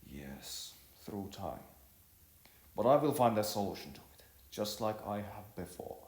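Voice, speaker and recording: A man voicing a stoic, hardworking poor young man in a storybook, speaking in a halting, earnest, determined way. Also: on the low side, animated, a little mellow, echoey room.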